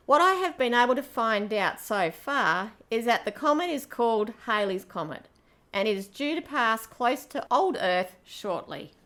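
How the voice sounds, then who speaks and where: A woman's voice: on the high side, big pitch swings, moderate pace, a bit bright. A woman playing a bold, shrewd space pirate, firm and matter-of-fact, in an amateur sci-fi radio play. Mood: explaining.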